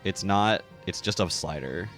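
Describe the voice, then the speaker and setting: A man's voice: low voice, expressive, measured pace, fairly bright tone, soft background music. A man hosting a game livestream, chatting casually into a streaming mic. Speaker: livestream host.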